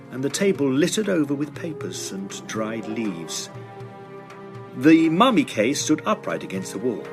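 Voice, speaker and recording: Self-assured young Victorian student, animated delivery. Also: male voice, mid-range voice. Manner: formal, articulate Victorian phrasing. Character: sceptical, self-assured young male Oxford medical student. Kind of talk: dramatised first-person period narration and dialogue.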